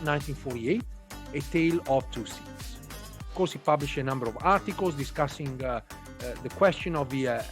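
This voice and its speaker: A man hosting an interview podcast, introducing his guest formally with some hesitant fillers. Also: a little high. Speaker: podcast host.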